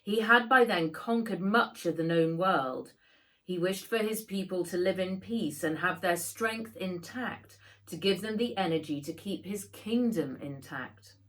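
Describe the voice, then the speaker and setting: Solemn, slow-spoken clansman, read by a woman. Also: on the low side, animated, a bit bright. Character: Jamuka, solemn Mongolian clansman telling clan history.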